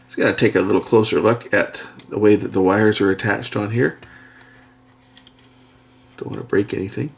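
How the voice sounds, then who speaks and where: A middle-aged man giving a casual hands-on narration as an electronics repair presenter, speaking haltingly as he thinks aloud at an indoor workbench. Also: fairly low pitch, fairly even intonation, creaky. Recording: faint background noise, workbench clicks. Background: faint noise, clicks of handled parts.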